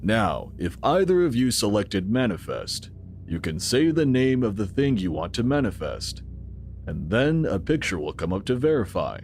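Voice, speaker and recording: Deep-voiced, animated sci-fi organization founder. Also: male voice, normal speed, slight background noise. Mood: instructive, assured. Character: male founder of a sci-fi organization, calmly authoritative. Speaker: actor in a full-cast audio drama. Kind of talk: sci-fi audio drama acting.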